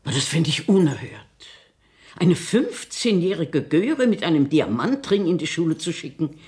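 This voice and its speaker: A woman's voice: low voice, very animated, moderate pace, darkish tone. An actress playing a strict, fussy old grandmother in a German radio play, scolding and bossy with emphatic theatrical delivery. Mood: indignant, stern.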